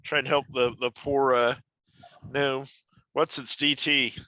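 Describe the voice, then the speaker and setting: A man's voice: mid-range voice, little pitch movement, a little slow, pushed and bright. A man playing a tabletop game, casually calling out rolls and chatting, on a slightly dull recording.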